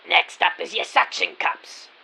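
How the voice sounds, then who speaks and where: A man voicing a gruff, brash middle-aged woman shopkeeper in an audio drama, with a raspy voice and brusque, slangy, sardonic delivery. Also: sits high, a little slow, pushed and bright, heavily acted, poor audio. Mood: brusque instructing. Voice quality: gravelly, raspy. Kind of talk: audio drama character acting.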